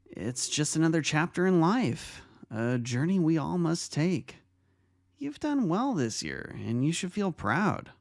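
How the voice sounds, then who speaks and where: A man voicing a kind, reassuring vice-principal in an audio drama, speaking gently and earnestly. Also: fairly high pitch, sing-song, measured pace, vocal fry, no room sound, studio quality, exaggerated voice. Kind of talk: audio drama fan fiction reading. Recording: music under the voice.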